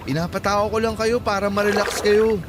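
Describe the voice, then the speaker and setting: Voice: medium pitch, steady tone, brisk, noisy background, lightly acted. An actor playing a friendly, polite young male motorcycle taxi rider in a dramatized audio love story, concerned and teasing. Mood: polite, joking.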